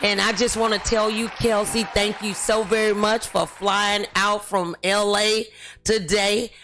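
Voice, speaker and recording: Middle-aged woman, chatty talk show host, unhurried and bright with some gravel, faint music behind. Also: medium pitch, little pitch movement, slight background noise. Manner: chatty, familiar. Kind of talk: casual interview.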